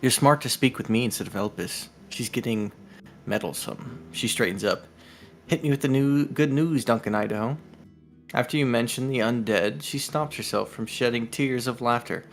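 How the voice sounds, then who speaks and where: Man co-reading a story game, medium pitch. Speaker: streamer co-reading a visual novel. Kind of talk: live reading of game narration with casual asides.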